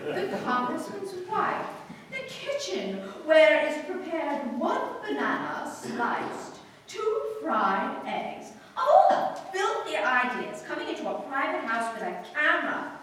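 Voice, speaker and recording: A woman playing a sharp-tongued, imperious young society bride in a live radio drama. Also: sits high, big pitch swings, takes it slowly, full character voice. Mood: indignant. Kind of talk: live old-time radio play acting. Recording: echoey hall, distant mic, faint noise.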